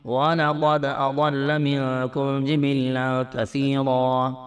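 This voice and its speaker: A man reciting scripture in a melodic Quranic chant, measured, with drawn-out verse endings. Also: mid-range voice, monotone, clear, resonant tone, soft background music.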